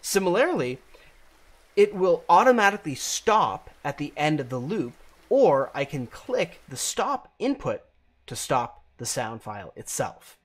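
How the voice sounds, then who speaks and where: A man in his thirties presenting a software tutorial, explaining deliberately phrase by phrase, close to the mic. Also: fairly high pitch, big pitch swings. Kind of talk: instructional screencast narration.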